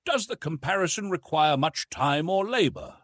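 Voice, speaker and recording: A male narrator voices a friendly gentleman companion in an adventure storybook. He is brisk, curious and courteous. The delivery is very animated, with a husky edge and no room sound. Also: on the high side, no echo, pitched above the performer's own voice. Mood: keen.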